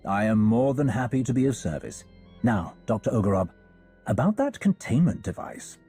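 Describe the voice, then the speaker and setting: A man voicing a composed, learned scholar in a game, soft and slightly husky, with polite, measured formality. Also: mid-range voice, very animated, soft background music, close to an everyday voice. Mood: obliging. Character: courteous, learned male scholar of ancient relics, composed. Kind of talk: video game cutscene acting.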